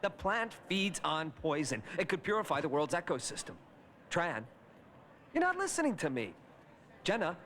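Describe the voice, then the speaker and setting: An actor playing an eager eighteen-year-old hero in a cartoon, earnest and expressive. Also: male voice, fairly high pitch, normal speed, long pauses, a little hiss or hum. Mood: urgent, pleading. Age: young adult. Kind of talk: animated series voice acting.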